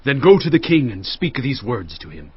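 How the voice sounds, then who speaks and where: An actor playing an authoritative ancient ruler, speaking formally and deliberately in a dramatized audio Bible. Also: male voice, mid-range voice, big pitch swings, breathy edge, no room sound, lo-fi sound. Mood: instructing.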